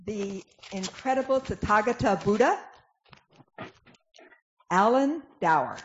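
A woman's voice: medium pitch, animated, takes it slowly. A woman narrator giving formal scripted narration and announcements for a dramatic reading. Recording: dull lo-fi sound, clear background noise.

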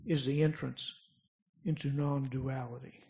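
A man's voice: medium pitch, level tone, unhurried, creaky, background noise. A man playing a wise, commanding bodhisattva in an amateur dramatic scripture reading, solemn and declamatory. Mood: solemn, emphatic. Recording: dull lo-fi recording.

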